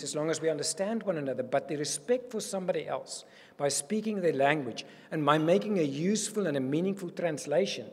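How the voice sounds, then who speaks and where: South African man, husky earnest speech. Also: on the high side. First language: Afrikaans. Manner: earnest and animated, gesturing widely. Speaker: university provost. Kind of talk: welcome speech. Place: reception hall with curtains. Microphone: podium microphone. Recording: long hall reverb.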